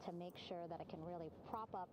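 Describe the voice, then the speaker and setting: A woman giving steady, informative live broadcast commentary. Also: fairly low pitch, quick pace. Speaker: news commentator. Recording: broadcast played back with background noise.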